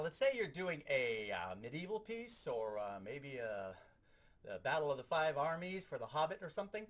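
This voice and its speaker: A man with a slightly high voice teaches in a practical, show-and-tell style. His intonation moves up and down a great deal as he explains each step like an animated instructor. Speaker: sound effects instructor. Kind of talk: hands-on instructional demonstration.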